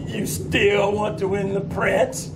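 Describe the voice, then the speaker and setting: A cruel witch doctor of the sea, acted by a man, cackles and taunts in a highish voice. The delivery is slow and menacing, in old radio-play style. The live theater recording is very noisy. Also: little pitch movement. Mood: gloating, menacing. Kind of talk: old-time radio drama acting.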